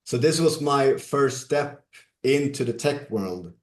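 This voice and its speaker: A man from Sweden, a guest on an interview podcast, telling his career story in a measured, casual way with some fillers. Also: medium pitch, animated, reverberant. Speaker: guest, software company CEO.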